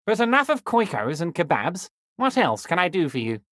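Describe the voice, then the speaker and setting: Smug, animated old union boss. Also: male voice, high voice, moderate pace, no room sound, studio quality. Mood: chummy, sly. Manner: chummy, mock-innocent, sly. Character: smug, wily, folksy corrupt union boss, older man. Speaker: voice actor. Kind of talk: video game dialogue acting.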